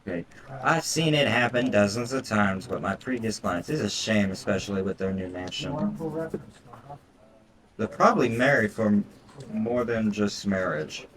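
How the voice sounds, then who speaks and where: A man's voice: on the low side, moderate pace, a bit bright, poor audio. A man doing casual let's-play commentary as a game streamer, reading visual novel text aloud with foul-mouthed, irreverent asides. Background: game music.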